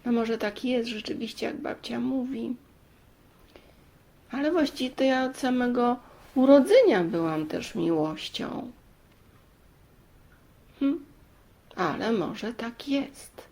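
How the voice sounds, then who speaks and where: A woman's voice: on the high side, expressive, slow pace, creaky, pauses often, a little mellow, pitched above the performer's own voice, exaggerated voice. A woman voicing a loving young granddaughter in a storybook, thoughtful and trusting, musing to herself.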